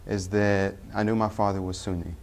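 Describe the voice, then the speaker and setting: A young man from Tennessee speaks with a very low voice. He tells his personal story unscripted, pausing often and correcting himself mid-sentence. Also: fairly even intonation, slight background noise. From Tennessee, US Southern. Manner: halting, self-correcting with many "uh" pauses. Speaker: convert telling his story. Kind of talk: personal testimony.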